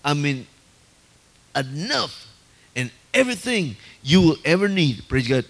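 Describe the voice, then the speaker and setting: A male preacher giving an excited sermon, filling every phrase with "hallelujah" and "praise God" and often stammering and restarting. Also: fairly high pitch, unhurried.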